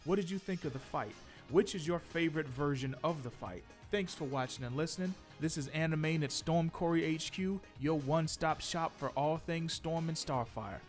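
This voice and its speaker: A man's voice: fairly high pitch, moderate pace, few pauses. A man hosting a comic book video, reading scripted commentary in a conversational, opinionated way with joking asides. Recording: music behind the voice. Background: background music.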